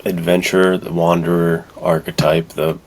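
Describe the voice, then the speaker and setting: A man's voice: sits low, flat delivery, moderate pace. A man co-hosting a podcast, talking casually and enthusiastically about films with strong opinions. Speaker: podcast co-host. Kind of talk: casual film discussion.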